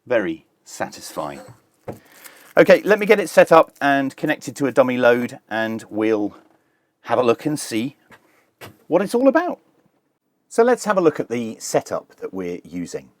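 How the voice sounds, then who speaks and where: A man reviews a piece of kit in a casual, hands-on way. His voice sits at an average male pitch and is recorded very dry, with no room sound. He explains things plainly, step by step, with a streak of dry humour. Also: wildly swooping pitch, moderate pace, pauses often, no room sound. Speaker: product reviewer.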